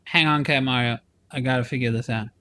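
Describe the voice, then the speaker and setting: A man's voice: medium pitch, fairly even intonation, fast, pushed and bright, a little hiss or hum. A man in his forties chatting casually while he streams a game, playful and talkative, speaking into a headset in a small room. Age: 40s. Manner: chatty, playful, talks to chat while playing. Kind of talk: casual live stream chat.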